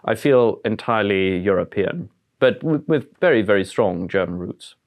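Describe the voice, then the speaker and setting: A man's voice: on the low side, expressive, normal speed, narrow, tinny audio. A man of about forty who grew up in France, a museum curator giving thoughtful, searching answers in an interview. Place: historic house, indoors.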